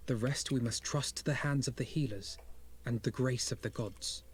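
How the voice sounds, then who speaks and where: A man reading as a solemn, measured knight. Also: mid-range voice, lightly acted. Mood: solemn, resigned. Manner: formal, measured, grave.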